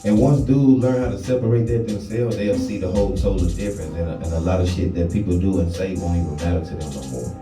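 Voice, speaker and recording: A man's voice: low-pitched, fairly even intonation, fast, hardly any pauses. A young man, a rapper guest, talking casually in an interview and often saying "you know what I'm saying". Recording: roomy studio with distant mic and music. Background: music playing.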